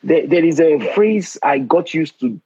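A Nigerian man in his forties with a Nigerian accent, hosting a conversational interview in an earnest, measured way with frequent restarts. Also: fairly high pitch, lively intonation, dark tone, breathy edge, dry recording, dull audio. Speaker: host.

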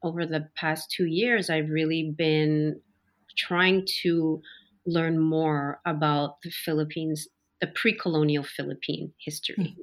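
A woman's voice: low-pitched, flat delivery, a little slow. A woman from Montreal, a podcast guest, speaking reflectively in a casual interview, with frequent ums and self-corrections. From Montreal, Canada. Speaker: podcast guest, human design guide.

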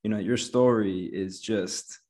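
A man's voice: fairly low pitch, fairly even intonation, unhurried, darkish tone. A man hosting a podcast, welcoming his guest and asking about his background in a casual, friendly way with frequent fillers. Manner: friendly, uses many fillers like "you know". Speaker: podcast host. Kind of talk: conversational interview.

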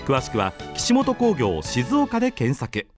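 A woman's voice: extremely low pitch, huge pitch swings, measured pace, over music. A woman announcer reading a scripted radio advertisement in short, punchy copy phrases. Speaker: commercial announcer.

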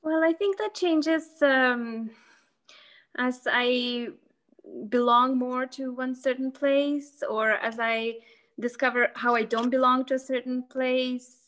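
Hesitant young woman, bright and high. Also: fairly even intonation, slow pace. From Lithuania. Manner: hesitant, many fillers and restarts, laughs often. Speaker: podcast guest. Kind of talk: casual interview conversation.

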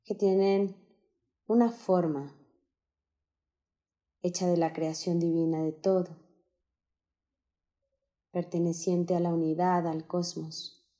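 A woman leading a guided meditation in a calm, soothing, unhurried manner, giving gentle instructions with pauses. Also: on the low side.

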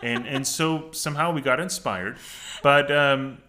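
Bright-voiced playful man, joking co-host. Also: medium pitch, measured pace. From Los Angeles. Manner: playful, joking, trails off with fillers. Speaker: podcast co-host. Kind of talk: casual chat.

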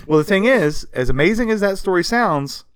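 A man co-hosting a casual, comedic history podcast, telling stories from notes in an animated way with casual swearing. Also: high voice, brisk, smooth, no room sound, studio quality. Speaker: podcast co-host.

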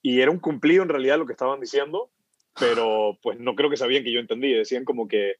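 A man's voice: mid-range voice, quick pace, slight background noise. A man from Ecuador, a startup founder, talking casually as a podcast guest, often repeating words as he thinks. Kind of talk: casual interview conversation. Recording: dull muffled sound, dry.

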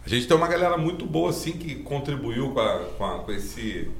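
A man's voice: medium pitch, sing-song, fairly quick, vocal fry, a little hiss or hum. A man, a podcast guest telling his life story in a rambling, earnest way with lots of filler tags. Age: 40s. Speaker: guest, driving school owner. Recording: roomy podcast room.

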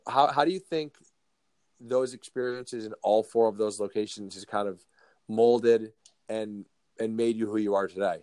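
A man's voice: lowish voice, steady tone, moderate pace, mellow, no room sound. A man hosting a podcast interview, talking casually and often restarting and repeating words. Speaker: podcast host.

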